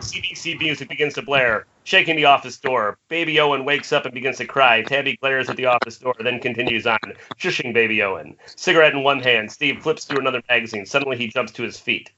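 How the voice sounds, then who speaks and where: A man's voice: medium pitch, fast, few pauses, music in the background. A man narrating the stage directions of a screenplay in an amateur table read. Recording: dull, noisy internet call audio.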